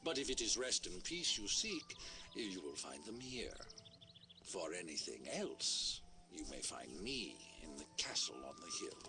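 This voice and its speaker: Pompous duke, low voice going all over the place, puppet show, music behind. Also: male voice, huge pitch swings, unhurried, heavily acted. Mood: pompous, welcoming. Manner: pompous, formal. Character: pompous, courteous male duke of a seaside village. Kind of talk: theatrical storybook acting.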